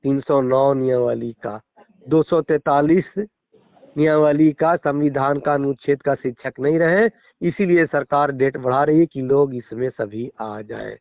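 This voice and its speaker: A man's voice: mid-range voice, steady tone, measured pace, dry recording. A middle-aged man with a Bihari accent, a schoolteacher arguing his position emphatically and formally in a phone interview. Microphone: phone call. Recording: narrow, tinny phone line.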